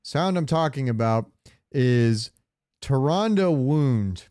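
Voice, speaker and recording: A man's voice: medium pitch, expressive, slow pace, mellow, no room sound, studio quality. A man chatting casually and crudely as a podcast co-host, swearing freely. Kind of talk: casual banter about game sounds.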